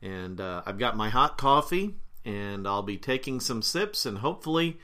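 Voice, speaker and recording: Podcast host: middle-aged man, bright timbre, mildly stuffed up with a cold, unhurried and full of fillers. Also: on the low side, expressive. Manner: unhurried, with fillers and frequent throat-clearing. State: slightly congested, with a cold. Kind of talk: casual explanatory talk.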